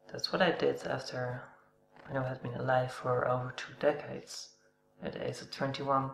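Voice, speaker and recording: A woman in her thirties giving a personal testimony on camera, hesitant and soft-spoken, pausing and sighing between thoughts. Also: rumbling low, gravelly. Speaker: vlogger. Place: indoor room, seated on a couch before a dark backdrop. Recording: echoey room with humming noise.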